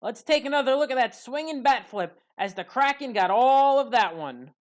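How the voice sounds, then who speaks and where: A woman with a fairly high voice narrates sports highlights. She reads scripted play-by-play as a commentator recapping the action. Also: expressive, moderate pace.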